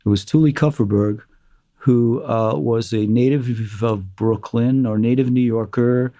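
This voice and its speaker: Man, musician guest, animated storyteller, dark mellow tone, dry close sound. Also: mid-range voice, measured pace, dry recording. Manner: animated storyteller, acts out quotes, many fillers. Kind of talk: conversational interview storytelling.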